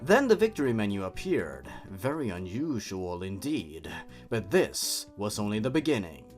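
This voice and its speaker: A man reads a horror tale aloud in a highly animated voice, his pitch swinging widely. He hosts with a mocking edge, slipping into sarcastic, exasperated asides. Music plays behind him. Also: moderate pace. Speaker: host. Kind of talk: dramatic reading of a horror story with mocking asides.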